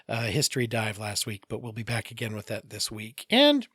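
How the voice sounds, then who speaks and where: A man hosting a casual solo mental health podcast, his voice a bit raspy, rambling with jokey asides and self-corrections. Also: fairly low pitch, sing-song, moderate pace, fairly bright tone, no echo, studio quality. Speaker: podcast host, therapist.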